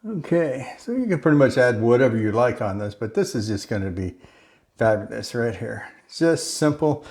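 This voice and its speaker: A man hosting a home cooking video, chatty and relaxed, talking through each step of a simple recipe. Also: mid-range voice, normal speed. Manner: relaxed and chatty, slips into a joking mock-Italian accent. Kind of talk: casual cooking demonstration.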